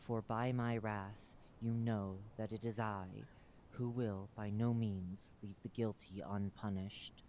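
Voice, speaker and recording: A man's voice: on the low side, little pitch movement, takes it slowly, dark tone, a little hiss or hum. A man voicing a solemn, unseen divine master who pronounces judgment in grave scriptural words. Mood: stern judgment.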